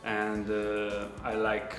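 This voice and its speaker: A man speaks in halting, simple English and often repeats words. His voice sits fairly low. The recording is echoey, with guitar music underneath. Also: monotone, a bit bright. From Croatia. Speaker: culinary student and chef. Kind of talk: interview testimonial. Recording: echoey room with background music.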